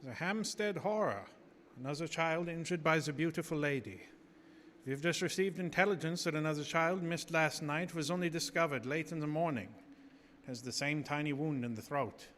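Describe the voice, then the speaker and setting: An actor playing a grave, commanding professor who hunts vampires, delivering probing, theatrical speeches in gothic radio drama. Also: male voice, a little high, normal speed, a bit bright, close to an everyday voice. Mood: urgent, challenging. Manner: theatrical, probing rhetorical questions. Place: live theater stage. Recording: reverberant theater hall with noise.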